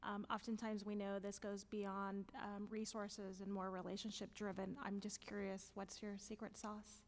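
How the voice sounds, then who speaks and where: A woman's voice: medium pitch, completely flat delivery, moderate pace, in a large hall. A woman board member offering praise and asking a curious question.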